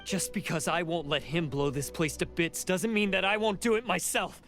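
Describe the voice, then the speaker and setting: A man's voice: high voice, fairly even intonation, moderate pace, a bit bright, faint music behind. An actor playing a proud, desperate young male oni warrior in a game, speaking intensely and defiantly. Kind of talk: game cutscene voice acting.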